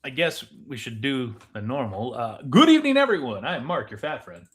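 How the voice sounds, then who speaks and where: A man's voice: mid-range voice, big pitch swings, fairly quick, a bit bright. A man with a mid-range voice hosts a live podcast. He speaks informally, as in an everyday conversation with his co-host. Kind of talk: casual live podcast chat.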